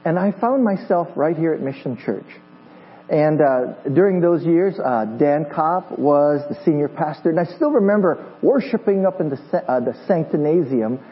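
A man's voice: a little high, lively intonation, normal speed. A man in his fifties from Hawaii, a visiting pastor preaching a sermon in a friendly, joking way, with many fillers and restarts. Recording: dull lo-fi church recording with mains hum.

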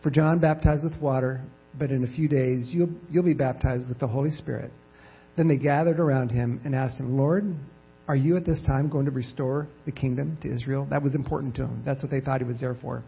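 Soft-spoken man, gravelly and husky. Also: medium pitch, fairly even intonation. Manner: halting, with many fillers and repeated words. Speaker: church missions president. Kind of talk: lay sermon with scripture reading. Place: church sanctuary. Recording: dull lo-fi church recording.

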